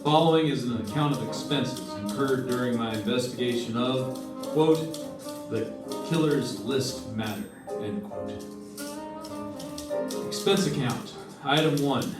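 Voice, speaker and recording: A man's voice: medium pitch, natural voice. A man playing a hard-boiled, dry insurance investigator in old-time radio noir style, with clipped, matter-of-fact delivery. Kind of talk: old-time radio noir acting, first-person narration. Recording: roomy stage recording, distant mic, music behind.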